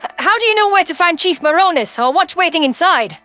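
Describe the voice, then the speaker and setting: A man's voice: very high voice, animated, fast talker, sharp tone, no room sound, faint music behind, voice pushed up, full character voice. A man voicing an anxious, hesitant female alien in an audio story. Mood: nervous. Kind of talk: audio story character dialogue.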